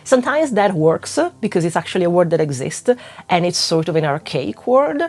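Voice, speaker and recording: A woman's voice: on the low side, big pitch swings, brisk, a little mellow. A young adult woman from northwest Italy who speaks English with an Italian accent, candid and self-deprecating in a casual vlog to camera, often restarting her words. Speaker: witchcraft YouTuber.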